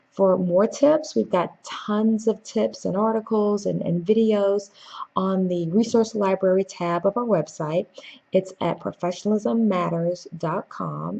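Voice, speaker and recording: Woman trainer with a creaky, mellow voice. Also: fairly low pitch, highs cut off. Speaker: corporate trainer and keynote speaker. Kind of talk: instructional tips presentation to camera.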